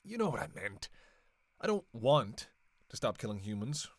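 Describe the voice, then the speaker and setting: A man's voice: mid-range voice, big pitch swings, moderate pace, no room sound. A man playing a sardonic, flirtatious, long-lived vampire killer in a roleplay audio drama, with casual, teasing, sweary banter. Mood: defensive, musing. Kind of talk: casual roleplay audio acting, one side of a dialogue.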